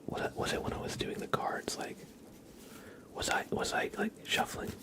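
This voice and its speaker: Whispering male ASMR streamer. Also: moderate pace. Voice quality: breathy, mostly whispered. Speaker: ASMR livestream host. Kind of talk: ASMR, casual chat with livestream viewers.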